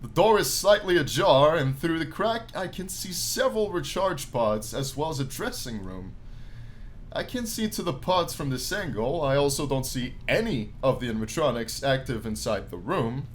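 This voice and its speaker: Animated man doing let's play narration. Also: on the high side, normal speed, a little hiss or hum. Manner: animated, jokey, casual asides. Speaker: gaming YouTuber reading a visual novel aloud. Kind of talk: let's play commentary mixed with dramatic read-aloud narration.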